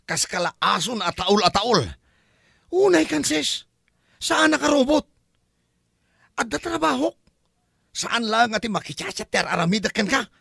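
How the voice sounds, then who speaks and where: A man's voice: very high-pitched, very animated, measured pace, gravelly, pauses often, sharp tone, breathy edge, no echo, studio quality, exaggerated voice. A man acting an exasperated boyfriend worn down by a clingy partner in an Ilocano comedy drama, with an Ilocano Filipino accent and a complaining, whining delivery. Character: exasperated, hard-pressed boyfriend of a clingy woman.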